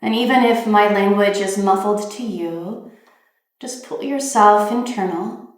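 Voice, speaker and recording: A woman leading a guided meditation, giving gentle, soothing instructions in an unhurried way with pauses. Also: medium pitch. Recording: very echoey, reverberant room.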